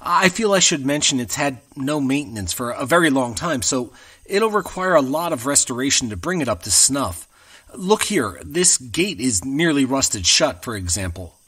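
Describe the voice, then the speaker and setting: A man's voice: mid-range voice, very animated, moderate pace, a bit bright, distant mic. An actor playing a nervous young male land agent who talks in a hesitant, stammering way. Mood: polite. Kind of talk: audio drama acting.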